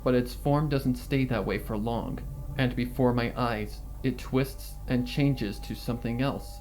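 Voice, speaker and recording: A man reading a horror story in a dramatic, deliberate scripted narration. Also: medium pitch, a little mellow, poor audio. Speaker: fan fiction reader. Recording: music behind the reading. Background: background music.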